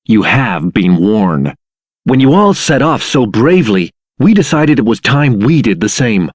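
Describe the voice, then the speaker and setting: A husky, earnest, wary adventurer. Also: male voice, mid-range voice, big pitch swings, unhurried, no room sound, clean studio sound. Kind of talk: video game character acting.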